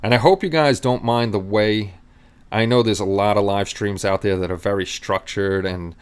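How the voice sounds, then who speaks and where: A middle-aged man rambles easily through a casual live stream, talking straight to his viewers. His voice sits a little low and comes through smooth and clear. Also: moderate pace. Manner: easygoing, rambling, chatting with viewers.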